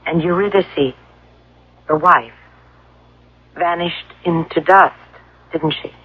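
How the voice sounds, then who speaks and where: A woman's voice: fairly low pitch, takes it slowly, husky. Insistent captive woman, dull radio sound. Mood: probing. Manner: insistent, probing, persuasive. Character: determined, coaxing woman captive plotting escape. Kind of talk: radio drama acting. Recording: dull, dry lo-fi radio broadcast.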